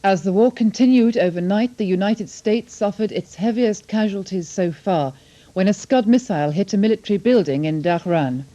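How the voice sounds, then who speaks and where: A woman's voice: mid-range voice, moderate pace, warm tone, dull audio. A British woman reading scripted television news headlines as a newsreader.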